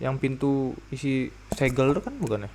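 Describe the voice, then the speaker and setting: Low-voiced Indonesian woman gaming, lively pitch. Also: fast talker. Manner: teasing, talking back at the game. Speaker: game streamer. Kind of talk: casual gameplay commentary. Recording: game music behind the voice. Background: video game music.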